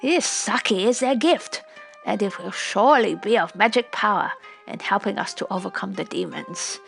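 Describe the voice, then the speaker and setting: Warrior chief for storybook, woman reading: confident, steady, devout, reassuring, some gravel in the voice. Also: mid-range voice, normal speed, soft background music, poor audio. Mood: hearty, reassuring. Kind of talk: storybook character voice.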